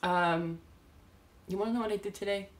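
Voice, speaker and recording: A woman performing a theatrical monologue as an unstable, self-loathing young woman, confessing in a halting, volatile way. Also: medium pitch, fairly even intonation, close to an everyday voice. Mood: nervous confessing. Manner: halting, stammering confession swinging between whispers and cries.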